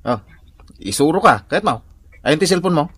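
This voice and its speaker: A man's voice: a little high, sing-song, moderate pace, fairly bright tone, husky, no echo, full character voice. A man acting in a comedic radio drama as a teasing, girl-chasing buddy, joshing, chuckling and exclaiming. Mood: mischievous. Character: teasing, girl-chasing buddy who loves to joke around.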